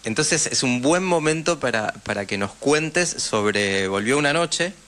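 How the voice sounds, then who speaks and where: A man's voice: mid-range voice, expressive, measured pace, a bit bright. A man with a Rioplatense Argentine accent, a radio interviewer who forms his questions hesitantly, repeating words as he goes.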